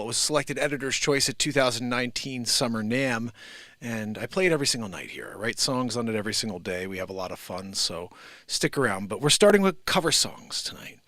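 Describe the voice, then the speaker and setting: A man's voice: medium pitch, expressive, brisk, pushed and bright, no echo, studio quality. A man casually chatting to a live-stream audience as singer and host, with an informal, breezy, self-deprecating manner. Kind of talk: casual chat to a live audience between songs. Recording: live guitar music in the recording. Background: guitar playing.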